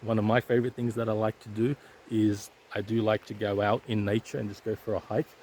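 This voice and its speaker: A man's voice: fairly low pitch, level tone, brisk, a little mellow, slight background noise. A man vlogging outdoors on a hike, talking casually about his stutter with frequent repeated sounds and restarts. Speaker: YouTuber sharing stuttering advice. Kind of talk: casual vlog talk to camera. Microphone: weak handheld setup, shaky.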